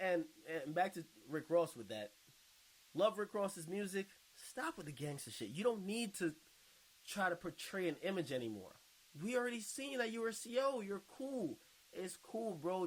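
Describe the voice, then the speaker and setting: Mocking male commentator, animated and high-pitched. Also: low-quality recording. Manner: mocking, blunt, slangy. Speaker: video commentator reading viewer comments. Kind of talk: casual reaction commentary.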